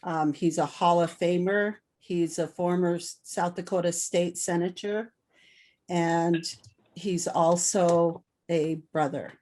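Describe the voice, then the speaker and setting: A woman hosting a gathering, introducing guests in a soft-spoken, gently halting way. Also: lowish voice, fairly even intonation. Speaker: event host. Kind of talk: welcoming introductions.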